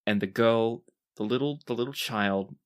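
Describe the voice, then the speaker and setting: This is a game master at a tabletop roleplaying session, with a mid-range voice. The narration comes in short, unhurried phrases with a dramatic storytelling feel. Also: mid-range voice.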